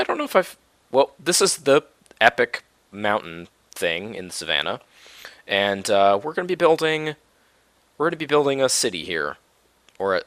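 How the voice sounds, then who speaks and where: A deep-voiced man, chatting in a loose, meandering way as he plays. His voice sits low but has a bright, forward edge. He is coming down with a cold, and the recording is close and dry. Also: no echo. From Minneapolis-Saint Paul area, US. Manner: laid-back and rambling, many ums and restarts. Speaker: Minecraft let's play host. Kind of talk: casual gameplay commentary.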